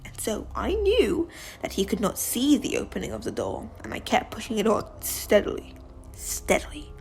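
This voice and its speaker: A woman playing a deranged, gloating murderer in a dramatic gothic story reading, theatrical and often dropping into breathy whispers. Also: a little high, huge pitch swings, normal speed, a little hiss or hum, heavily acted, rough recording. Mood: creeping suspense. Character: deranged, gloating murderer confessing while insisting on sanity.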